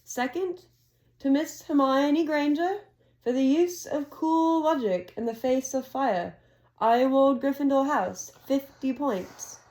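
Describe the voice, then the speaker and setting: A woman reading aloud as an old, wise male headmaster, speaking in a calm, measured, formal way as he announces to a hall. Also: extremely high pitch, voice pushed up, exaggerated voice. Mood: formal, kindly.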